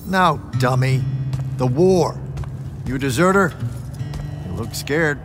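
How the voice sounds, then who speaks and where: A man's voice: mid-range voice, expressive, takes it slowly. A man playing a cranky, folksy older recluse in a video game, grumbling with a drawling manner. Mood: wry, probing. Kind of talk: video game cutscene acting. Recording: very loud music over the voice.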